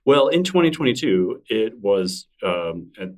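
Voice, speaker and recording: Low-voiced chatty man, a bit off-mic. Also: very animated, a little slow, darkish tone, distant mic. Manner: chatty, enthusiastic, laughs easily. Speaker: podcast guest, newsletter creator. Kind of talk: casual interview conversation.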